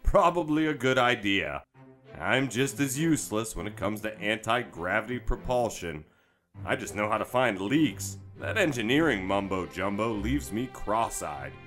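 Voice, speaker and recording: Man playing easygoing spaceship crewman, lively low voice. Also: moderate pace, soft background music. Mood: relaxed, explanatory. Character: easygoing male spaceship crew leader, practical and self-deprecating. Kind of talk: audio drama dialogue.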